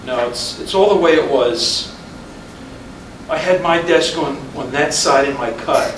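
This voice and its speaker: A man's voice: on the high side, unhurried, natural voice. A man reading the part of an easygoing, pragmatic husband in casual staged-play dialogue. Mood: casual, matter-of-fact. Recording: distant mic in echoey, noisy room.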